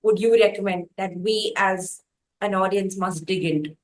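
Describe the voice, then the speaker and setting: A woman with an Indian accent hosting an interview, speaking haltingly in fairly formal phrases. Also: medium pitch, steady tone. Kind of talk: interview questions and chat.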